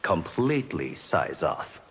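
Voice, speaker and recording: A man's voice: low-pitched, very animated, slow, a bit bright, slight background noise, heavily acted. A man voicing a friendly, curious tournament fighter in a video game, direct and sincere. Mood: friendly banter. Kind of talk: video game character dialogue.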